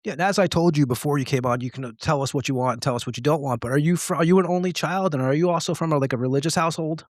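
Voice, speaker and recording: Fast-talking male podcast host. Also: on the high side, no echo, clean studio sound. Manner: rambling, filler-heavy questions. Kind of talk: casual interview questions.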